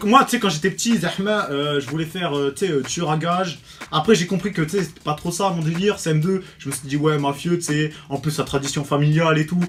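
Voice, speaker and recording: A young man speaks quickly in a fairly high voice. He plays the boastful gangster in slangy, sweary chat, with music running underneath. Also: a bit bright. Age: teens. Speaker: amateur rapper in a comic duo. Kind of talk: mock gangster interview in slangy casual chat.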